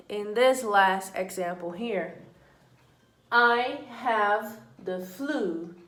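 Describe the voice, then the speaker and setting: A woman explains English grammar to her students, going slowly and patiently. She says each example sentence twice in a deliberate, measured voice. Her voice carries a noticeable echo from the room. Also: medium pitch, a bit bright. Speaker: English teacher. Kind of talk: slow, deliberate classroom explanation. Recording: echoey room.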